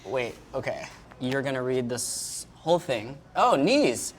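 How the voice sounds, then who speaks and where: A man's voice: mid-range voice, a little slow, over a noisy crowd. A man hosting an interview, asking friendly, easygoing questions in casual chat. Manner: relaxed, friendly questions with easy agreement. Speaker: host. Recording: background noise on location.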